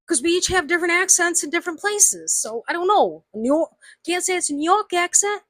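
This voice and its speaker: Indignant woman with a bright, edgy voice. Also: extremely high pitch, animated, fairly quick, dry recording. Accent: slight Southern US. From United States. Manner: indignant, emphatic, repeats herself. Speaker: vlogger. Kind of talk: angry opinion rant to camera.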